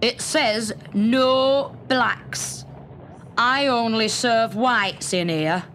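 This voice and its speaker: Female reader as storybook male shopkeeper, very slow emphatic delivery, stern, hostile, faint noise behind. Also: a little high, a bit bright. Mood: hostile, contemptuous.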